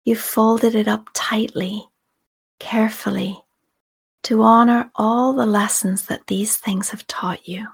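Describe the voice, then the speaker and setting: A woman leading a guided meditation in a soft, often whispery voice, calm and soothing, with long unhurried pauses. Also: a little high, vocal fry, husky. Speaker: meditation guide and podcast host. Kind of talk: guided meditation, slow scripted narration.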